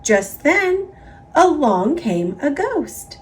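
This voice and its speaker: A woman with an American accent narrating a children's picture book in an expressive, emphatic read-aloud style. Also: high-pitched, extremely slow. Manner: expressive, emphatic, repetitive build-ups. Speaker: storybook narrator.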